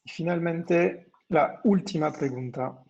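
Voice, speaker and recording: A man co-presenting a webinar, putting quiz questions and answers in a halting way, searching for words. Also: on the high side, gravelly, a little mellow, lo-fi sound.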